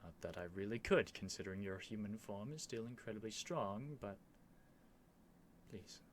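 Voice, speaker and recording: A man's voice: fairly low pitch, lively intonation, normal speed, a little hiss or hum, natural voice. A man playing a stubborn, flustered young prince with haughty, theatrical phrasing in an ASMR roleplay. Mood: shy, flustered.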